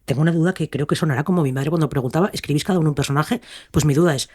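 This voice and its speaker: A man's voice: on the high side, racing, darkish tone, husky. A man with a Spanish accent from Spain, chatting casually as a co-interviewer. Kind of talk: casual conversation.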